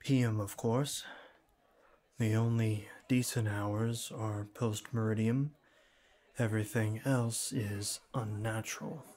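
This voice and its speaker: A man playing a shy, earnest young college student with a cool front, halting and formal, in a scripted ASMR roleplay. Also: on the low side, steady tone, a little mellow. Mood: awkward, shy. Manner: halting, stammering, formal wordy phrasing.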